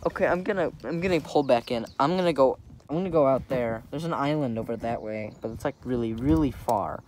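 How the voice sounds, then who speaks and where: A man's voice: mid-range voice, brisk, a little mellow. A young American man rambling casually to camera in an offhand, easygoing way, recorded outdoors on a phone. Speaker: vlogger. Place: outdoors on a lake in a kayak and on shore. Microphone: phone held or propped close. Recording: noisy outdoor wind and water noise.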